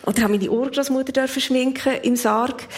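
A woman's voice: high-pitched, steady tone, normal speed, background noise. Reflective Swiss woman in her fifties. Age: 50s. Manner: reflective, laughs easily. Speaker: interview guest. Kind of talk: casual personal storytelling.

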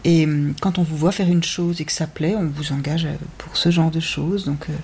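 Husky fifty-something woman, slow thoughtful talk, a bit wry. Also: low-pitched, steady tone, darkish tone. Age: 50s. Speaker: actress interviewee. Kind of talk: reflective interview talk.

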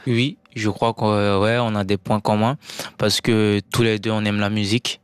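A young man from the Republic, an actor calmly answering interview questions in simple sentences. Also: on the low side, moderate pace, clear, resonant tone, no echo. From Central African Republic.

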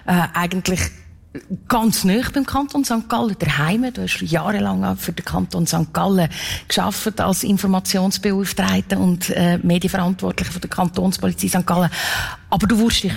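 A woman's voice: on the low side, steady tone, normal speed, vocal fry, husky. A woman speaking Swiss German, hosting an interview with frequent laughs and short fillers. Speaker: host, interviewer.

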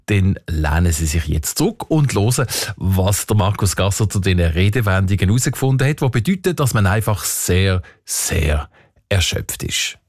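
A Swiss German radio host with a very low voice introduces the next segments. He talks in a friendly, lightly playful way. The sound is dry and close. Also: darkish tone, no room sound. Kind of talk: radio show presenting. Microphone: studio microphone.

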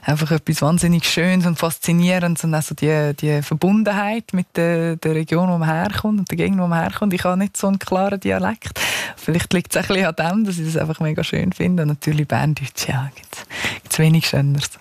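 A woman's voice: lowish voice, fairly even intonation, fairly quick, vocal fry, darkish tone, dry recording. A young adult woman with a Swiss German accent, a ski racer answering interview questions in a reflective, casual way, often restarting sentences.

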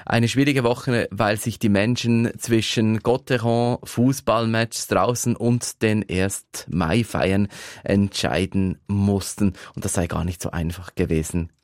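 A man's voice: lowish voice, steady tone, slow pace, dry recording, studio quality. A man hosting a radio talk show, chatting with correspondents and asking them questions. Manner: conversational, asks guests questions. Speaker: radio host. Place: radio studio.

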